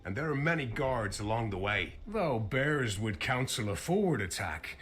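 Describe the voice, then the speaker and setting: An actor voicing a calm male quest-giver in a game, measured and advising. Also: medium pitch, slight background noise, lightly acted.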